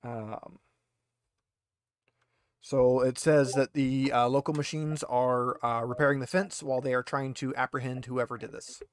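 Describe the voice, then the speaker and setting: A man's voice: mid-range voice, fairly even intonation, unhurried, dry recording, clean studio sound. A man acting as game master, narrating a tabletop roleplaying game in a conversational, hesitant way.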